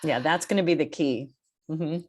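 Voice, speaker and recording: A woman's voice: sits low, moderate pace. A woman speaking as a theater director on a panel, in a reflective, conversational way.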